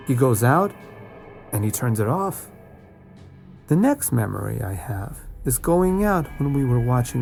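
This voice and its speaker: Male guest reader for first-person horror anecdotes; creaky texture, lively swings in pitch. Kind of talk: scripted first-person scary story narration.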